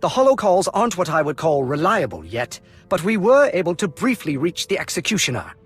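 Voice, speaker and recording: A man's voice: high voice, very animated, brisk, breathy edge, full character voice. A man playing a stern, officious military colonel in a video game cutscene, with formal, clipped delivery. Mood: measured. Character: brisk, officious male Imperial colonel, dutiful and stern. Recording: music behind the voice.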